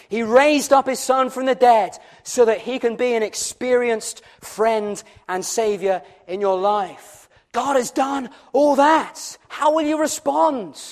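A male preacher delivers an evangelistic sermon in a distinctly high-pitched voice. His delivery is fervent and solemn, with strong emphasis on key words. He piles up repeated phrases and presses his point with rhetorical questions.

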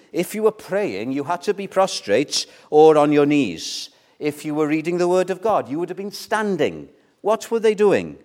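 An older man from Wales, a Welsh speaker, preaching a sermon in a conversational way with rhetorical questions and repeated words. His voice swells and then drops almost to a whisper. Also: fairly high pitch. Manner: loudness rises and falls widely, dropping to near whispers. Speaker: pastor.

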